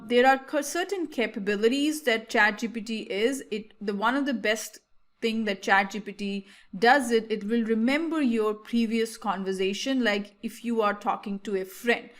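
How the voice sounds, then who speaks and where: Higher-voiced woman explaining software. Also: normal speed. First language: Hindi. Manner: explanatory, with frequent fillers and restarts. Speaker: tutorial host. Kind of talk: screen-share software tutorial.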